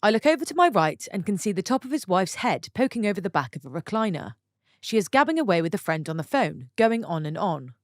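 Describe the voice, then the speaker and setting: A woman reading online stories aloud for her channel, stopping for chatty, expressive asides to her viewers. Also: medium pitch, fast talker, no echo, clean studio sound. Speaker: story channel narrator.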